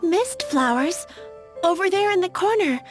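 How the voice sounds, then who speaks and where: A woman's voice: very high voice, lively intonation, a little slow. A flustered girlish flower seller. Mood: cautious. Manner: flustered, stammering, anxious. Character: flustered young woman flower seller, lovesick and anxious. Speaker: flower shop owner. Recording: game cutscene with faint music behind.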